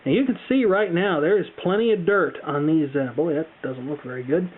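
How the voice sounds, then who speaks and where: A man's voice: a little high, brisk. Thin-sounding American man giving a casual tutorial. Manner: chatty, careful, explanatory. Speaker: tutorial presenter. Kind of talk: casual how-to demonstration. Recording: thin, phone-like band-limited sound.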